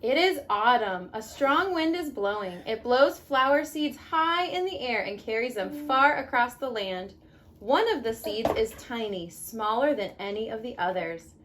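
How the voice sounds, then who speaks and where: A woman teaching young children as a mother at home, reading a picture book expressively and pausing to ask simple questions. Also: on the high side, slight background noise. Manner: expressive, instructive, asks leading questions.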